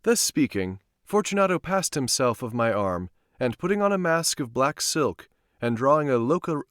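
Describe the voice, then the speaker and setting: A man's voice: mid-range voice, moderate pace, no echo, clean studio sound. A man reading a gothic horror story aloud in a dramatic storytelling style, sometimes stumbling and going back over a line. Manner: expressive, stumbles and rereads lines. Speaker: streamer reading stories aloud.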